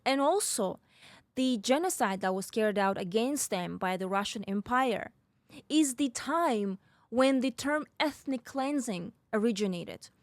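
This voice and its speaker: A higher-voiced woman from the North Caucasus explains things in a podcast monologue, dropping wry, ironic asides along the way. Her first language is a North Caucasian language. The sound is close and dry, with no room echo. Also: lively intonation, unhurried. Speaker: podcast host.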